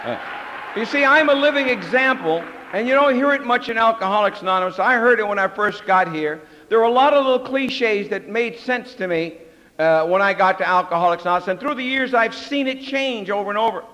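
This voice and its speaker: Older man, very high voice, candid story at a recovery meeting, long hall reverb. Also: animated, a little fast, bright, forceful tone, lo-fi sound. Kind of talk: personal story talk.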